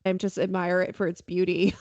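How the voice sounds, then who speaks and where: A woman hosting a podcast, chatting casually in an informal, expressive way. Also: lowish voice, normal speed, no echo, highs cut off. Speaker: podcast host. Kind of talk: casual conversational chat.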